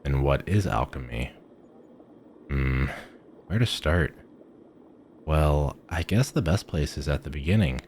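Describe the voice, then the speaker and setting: A man's voice: rumbling low, animated, measured pace, pauses often, darkish tone, slight background noise. A man playing a friendly, eccentric village alchemist shopkeeper in a fantasy audio roleplay, chatting eagerly to the listener with musing hums. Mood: chatty, explaining.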